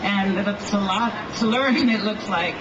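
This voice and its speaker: A woman's voice: medium pitch, measured pace, heavy background noise. A woman fan in the audience asks the panel a question, gushing and eager. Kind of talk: asking a question at a fan event. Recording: distant, dull, echoey hall with crowd.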